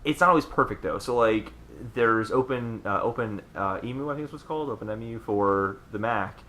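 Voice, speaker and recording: A man chatting casually as a podcast host, telling informal, animated stories about video games. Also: fairly low pitch, brisk, slight background noise.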